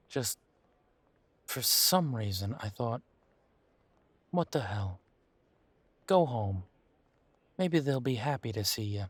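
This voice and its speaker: A man playing a bitter, wry young werewolf in an audio roleplay, talking haltingly and sardonically as if in intimate one-sided conversation. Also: medium pitch, dry recording. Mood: wry recounting. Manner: halting, sardonic, conversational with sighs and repeated words.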